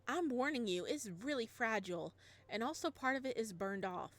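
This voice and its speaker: A woman's voice: a little high, expressive, lightly acted. Woman reading a cheerful teasing girlfriend-type. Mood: brisk. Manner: brisk and teasing. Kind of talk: reading a visual novel aloud.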